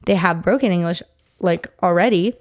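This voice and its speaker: A young adult woman co-hosting a casual podcast, talking in an emphatic, animated way with plenty of fillers. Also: lowish voice, normal speed. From United States. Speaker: podcast co-host. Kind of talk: casual chat.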